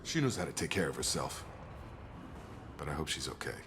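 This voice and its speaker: An actor playing a steady, loyal young soldier in a video game, plain-spoken and informal with an American accent. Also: male voice, a bass voice, a little fast, background noise. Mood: matter-of-fact, explaining. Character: steady, loyal male soldier and station security chief.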